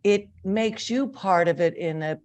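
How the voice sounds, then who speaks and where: A woman speaking as a talk show guest in a measured, earnest, conversational way. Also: mid-range voice, darkish tone. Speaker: guest, theater marketer.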